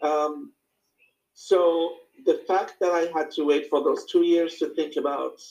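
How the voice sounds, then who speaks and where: A man's voice: fairly high pitch, steady tone, a little slow, darkish tone, rough recording. A man from Morocco with a Moroccan accent, speaking reflectively and hesitantly as he explains his personal experience in an informal talk to a small group.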